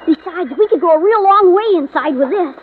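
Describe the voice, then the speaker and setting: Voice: extremely high pitch, lively intonation, fairly quick. An actor playing an adventurous young boy in a 1950s radio drama, casual and persuasive in a childlike way. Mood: coaxing. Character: adventurous young boy coaxing his friend to explore. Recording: muffled, narrow-band old radio recording, faint noise.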